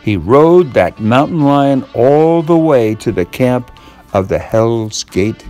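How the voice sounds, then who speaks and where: An older man reads a tall tale as a bedtime story, expressive and deliberate, drawing out key words. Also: medium pitch. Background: guitar music.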